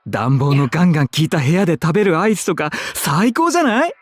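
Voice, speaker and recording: Fussy, animated effeminate young man. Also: on the high side, very fast, dry recording, studio quality. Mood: indignant, playful. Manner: feminine speech, expressive, nagging. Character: effeminate, chatty, fussy young man, sweet-toothed and excitable. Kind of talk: Japanese visual novel voice acting.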